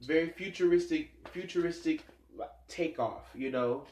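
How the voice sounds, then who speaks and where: A man's voice: on the high side, a little slow, darkish tone, a little hiss or hum. A man with a stuffed-up nose giving a casual tarot reading, musing aloud and rambling with many fillers. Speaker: tarot reader. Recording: echoey room sound.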